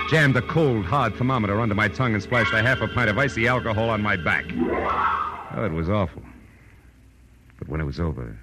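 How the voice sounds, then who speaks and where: An actor playing a wry, world-weary private detective in a vintage American radio drama, clipped and slangy. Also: male voice, lowish voice, low-quality recording. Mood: wry, confiding. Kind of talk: 1940s radio drama acting, first-person detective narration.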